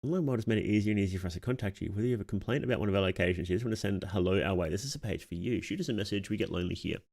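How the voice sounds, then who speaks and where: A man's voice: fairly low pitch, rapid-fire, darkish tone. A man streaming casually, reading on-screen text aloud and musing on it as he goes. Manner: reads text aloud, muses and reacts aloud. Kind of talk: casual livestream reading and commentary.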